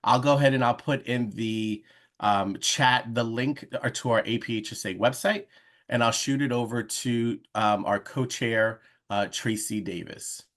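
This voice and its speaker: Middle-aged man hosting, medium-pitched. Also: fairly even intonation, measured pace, a bit bright. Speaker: webinar host. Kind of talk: informal webinar introduction. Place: indoor room, on a video call.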